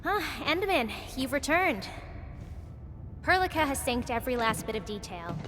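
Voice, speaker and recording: A confident woman comrade in a video game. Her voice is fairly high and measured, as if briefing an ally. It rings with long reverb over music. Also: big pitch swings. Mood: welcoming. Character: composed, confident woman comrade explaining the lore.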